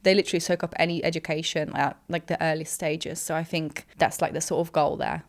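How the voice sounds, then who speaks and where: A woman's voice: low-pitched, fairly even intonation, a little fast. A young woman from Poland, a guest in a casual interview, chatting in a rambling way with many fillers.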